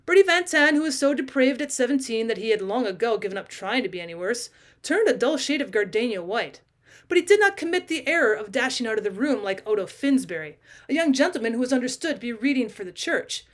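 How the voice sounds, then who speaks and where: A woman narrating a classic short story as an audiobook, reading expressively like a teacher to her class. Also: a little high. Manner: expressive, acts out dialogue.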